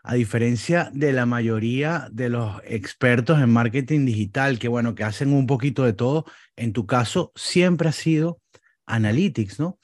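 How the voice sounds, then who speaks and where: A man's voice: medium pitch, moderate pace, no room sound, clean studio sound. A man hosting a podcast, reading an introduction and then interviewing his guest politely, with frequent hesitant fillers. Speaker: podcast host.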